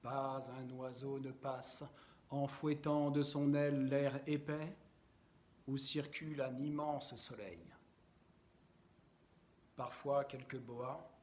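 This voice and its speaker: A man reciting poetry in a measured, deliberate and expressive way, with frequent pauses. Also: mid-range voice.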